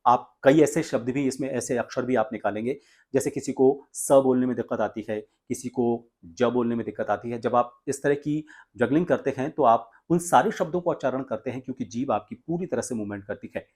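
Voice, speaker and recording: A man with a mid-pitched voice teaches as a voice coach. He explains in an instructional tutorial style, taking the listener through things step by step. He is from India and presents directly to his viewers. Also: normal speed, a little mellow.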